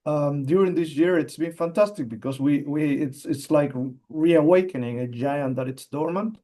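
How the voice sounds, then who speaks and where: A man with an Argentine Spanish accent speaks English as a second language, explaining his work in an interview. His voice sits a bit high yet sounds mellow and dark. He talks in halting bursts, full of fillers and repeated words. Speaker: mining company executive, geologist. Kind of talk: interview answers.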